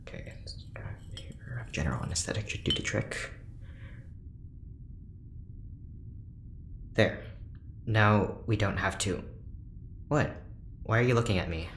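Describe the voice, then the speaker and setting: Awkward ship's doctor, low voice, hesitant, formal, literal, sci-fi audio drama, roomy echo. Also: big pitch swings, moderate pace, pauses often, whispered. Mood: defensive. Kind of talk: audio drama acting, naturalistic dialogue. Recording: roomy echo, faint background noise.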